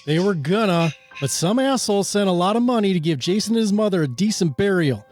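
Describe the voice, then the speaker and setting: A bullying small-town sheriff read by a man for an amateur radio drama. His voice sits a bit high for a male, yet he is gruff, impatient and sarcastic, with light music underneath. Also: lively intonation, fairly quick, a little mellow. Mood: stern, irritated. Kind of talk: amateur radio play reading.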